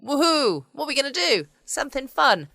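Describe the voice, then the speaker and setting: A woman's voice: sits high, sing-song, fairly quick, vocal fry, bright, forceful tone, no echo, clean studio sound. A woman hosting a podcast from home in a casual, playful style. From England. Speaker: podcast host. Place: at home, dry close sound.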